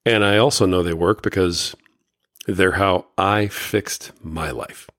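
Middle-aged male podcast host, deep voice, dry close sound, slight rasp, folksy. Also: slow, a bit bright, no room sound. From Indiana, USA. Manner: folksy, earnest, many fillers and restarts. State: slightly raspy voice. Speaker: podcast host and life coach. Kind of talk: casual solo podcast talk.

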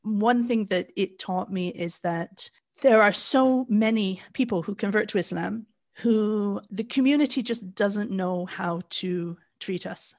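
A middle-aged woman from Canada with a Canadian accent, co-hosting a podcast in a measured storytelling way with frequent fillers. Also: mid-range voice, a little mellow. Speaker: podcast co-host. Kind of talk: casual podcast chat.

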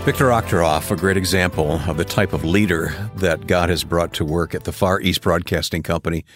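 A man announces a link in a broadcast program in smooth, scripted announcer delivery. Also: low-pitched, steady tone, a little fast, faint music behind. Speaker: radio host.

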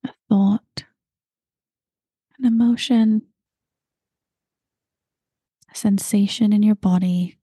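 Slow soft-spoken woman guiding meditation. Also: medium pitch, no echo. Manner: slow, soft and calm, drifting into breathy whispers. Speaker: meditation guide. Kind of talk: guided meditation.

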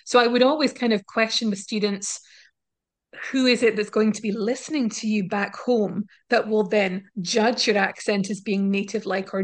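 A woman professor talks through her research findings in an interview. Her pitch sits in the middle range. She explains carefully, pausing with ums and restarting her phrases as she thinks. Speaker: linguistics professor, guest. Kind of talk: academic interview answers. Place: university in Scotland.

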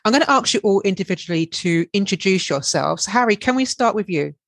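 A woman's voice: mid-range voice, expressive, brisk, gravelly, no room sound. A woman hosting a podcast, reading a formal introduction and politely asking her guests questions. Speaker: podcast host. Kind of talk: scripted introduction and interview questions.

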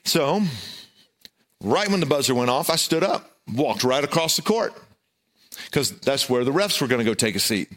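A middle-aged man with a loud voice, preaching a sermon and telling a self-deprecating, humorous personal story. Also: medium pitch, very animated, normal speed. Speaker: pastor.

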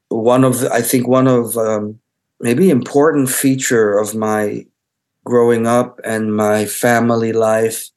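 A middle-aged man born in Israel whose first language is Hebrew, speaking as a photographer in an interview. He talks reflectively and haltingly, often restarting and searching for words. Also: fairly low pitch.

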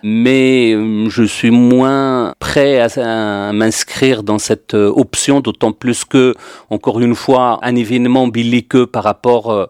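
A man's voice: mid-range voice, steady tone, takes it slowly, darkish tone, smooth, no echo, studio quality. A man from Morocco, a history guest, talking things through in a hesitant way with lots of fillers and restarts. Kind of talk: casual discussion.

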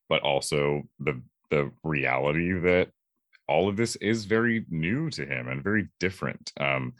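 Man, 20s, Californian: deep bass register, unhurried, thoughtful guest reflecting haltingly in an interview. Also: fairly bright tone, no echo, studio quality. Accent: American, Californian. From California, USA.

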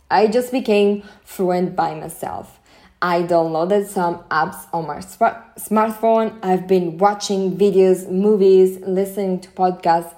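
A woman's voice: fairly low pitch, warm tone. A young woman with an Italian accent hosting a podcast, giving earnest, chatty career advice with frequent restarts. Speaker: podcast host. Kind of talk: solo podcast advice talk.

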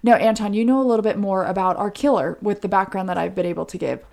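A woman's voice: medium pitch, quick pace, gravelly, a little mellow. A woman co-hosting a true crime podcast, telling a case in an earnest, explanatory, conversational way.

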